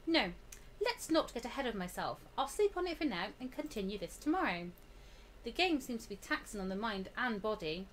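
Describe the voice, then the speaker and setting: A woman's voice: on the high side, big pitch swings, fairly quick, a bit bright, slight background noise, voice pushed down. A woman voicing the frightened young protagonist of a horror visual novel, dramatic and anxious. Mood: uneasy, subdued.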